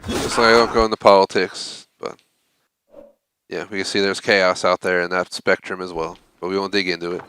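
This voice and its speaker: A man's voice: sits low, little pitch movement, moderate pace, fairly bright tone, slight background noise, poor audio. A man hosting a live game stream, chatting casually and rambling with lots of fillers. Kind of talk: casual live-stream chat while gaming.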